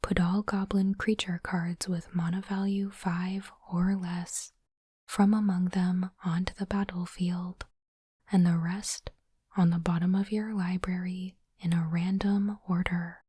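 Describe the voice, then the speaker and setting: A woman whispering in a breathy, unvoiced voice, slow and deliberate, in the style of an ASMR host. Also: fairly low pitch, flat delivery, dry recording. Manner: slow, deliberate, softly reading aloud. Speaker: host of an ASMR unboxing channel.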